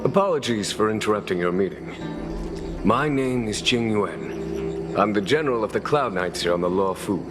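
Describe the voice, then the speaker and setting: An actor voicing a genial, shrewd general of knights in a video game, courteous and unhurried. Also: male voice, on the low side, natural voice. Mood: genial, calm. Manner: smooth diplomatic courtesy, relaxed authority. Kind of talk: scripted video game dialogue. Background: background music.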